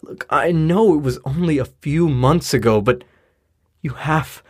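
A performer with a husky voice playing a blunt, frustrated but concerned young boyfriend in a naturalistic audio drama. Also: female voice, low-pitched, measured pace, darkish tone. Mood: exasperated. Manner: casual, exasperated, blunt.

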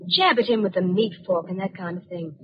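An actress playing an anxious young daughter, nervous and earnest, in a 1940s radio drama. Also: female voice, mid-range voice, brisk, slightly rough. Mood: uneasy. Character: anxious young British woman, the official's daughter. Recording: muffled old radio recording with music.

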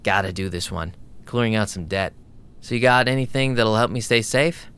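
A man's voice: on the low side, moderate pace, a bit bright, clear, resonant tone. A man playing a wry, streetwise vampire DJ, narrating an audio drama in a sardonic, casual way. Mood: casual, negotiating.

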